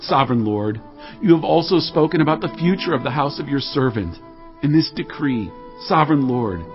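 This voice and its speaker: Man playing fervent King David, higher-pitched voice. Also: lightly acted. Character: King David, fervent, devout ruler of Israel. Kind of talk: dramatized scripture acting. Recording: muffled, band-limited audio. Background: music.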